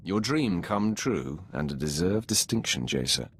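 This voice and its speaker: A commanding Sith lord for a video game. His extremely low voice is gravelly and expressive, with cool, measured authority. Ambient noise runs behind it. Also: heavily acted. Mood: cool, seductive. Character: commanding, coolly controlled male Sith lord and master.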